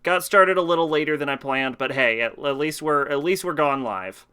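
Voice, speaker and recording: Bright, clear-voiced male streamer. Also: on the high side, moderate pace. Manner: chatty, rambling, talks to his chat and answers questions. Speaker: live streamer. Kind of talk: casual live-stream chat to viewers.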